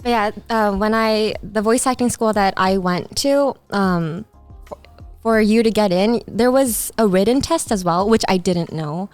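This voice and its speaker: A woman's voice: mid-range voice, unhurried, no echo, soft background music. A young American woman chatting casually as a podcast guest, telling self-deprecating stories with a giggly manner. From United States. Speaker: podcast guest, idol and voice actor. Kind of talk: casual chat.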